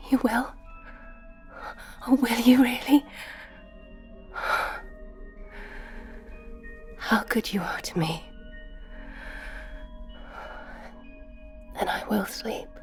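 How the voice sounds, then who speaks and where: Scared young woman in an audio drama, breathy little whispers, slow, music faint behind. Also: a little high, animated. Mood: relieved. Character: frail frightened young woman, Lucy. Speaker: Lucy Westenra.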